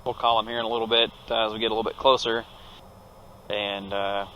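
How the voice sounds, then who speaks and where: A man's voice: on the low side, level tone, fast, bright, forceful tone, highs cut off, slight background noise. A man who is a pilot, casually talking through technical steps in a cockpit as he flies. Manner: technical, step-by-step. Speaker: pilot and flight vlogger. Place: cockpit of a turboprop plane in flight.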